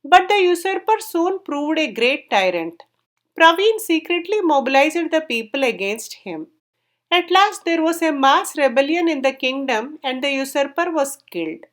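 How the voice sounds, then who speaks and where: High-pitched woman narrating a story. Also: big pitch swings, normal speed.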